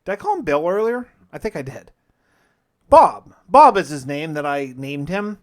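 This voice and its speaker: A man's voice: a little high, big pitch swings, moderate pace. A man narrating his own survival game playthrough in a casual, folksy, conversational way, like a video host telling a story in the first person. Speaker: gaming video host and player.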